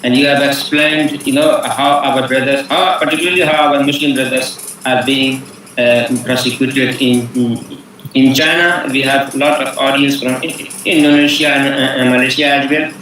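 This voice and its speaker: A man's voice: mid-range voice, fairly even intonation, normal speed, a bit bright. A man with an Indian accent chairing a webinar in formal but unscripted, courteous and somewhat hesitant phrasing. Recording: very echoey, distant mic, faint background noise.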